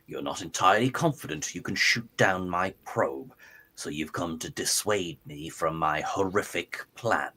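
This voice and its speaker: A coldly philosophical villain acted by a man in radio theatre. The voice is fairly low, with a measured, taunting, theatrical delivery. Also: pushed and bright, full character voice. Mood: mocking. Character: smug, philosophical male mad-scientist villain, coldly obsessed. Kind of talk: amateur radio theatre script read.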